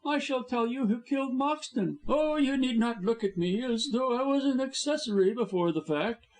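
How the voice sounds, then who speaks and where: A man's voice: very high-pitched, lively intonation, moderate pace, pitched above the performer's own voice, rough recording. A man reading an audiobook, voicing a scheming male lawyer who sneers and threatens. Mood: threatening.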